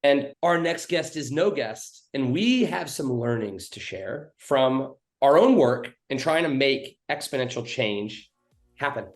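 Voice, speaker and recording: A man's voice: medium pitch, expressive, soft background music. Casual swearing man host, echoey room. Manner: loose, swearing, halting restarts. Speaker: podcast host. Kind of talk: casual podcast chat. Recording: roomy echo.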